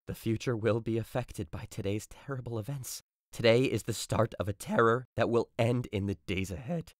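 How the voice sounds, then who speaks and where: A man's voice: medium pitch, moderate pace, no echo, clean studio sound, close to an everyday voice. An actor playing an impassioned young nobleman, emotional and declamatory, in a modernized Shakespeare audio drama. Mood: grieving. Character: impassioned, grief-stricken young nobleman turned vengeful.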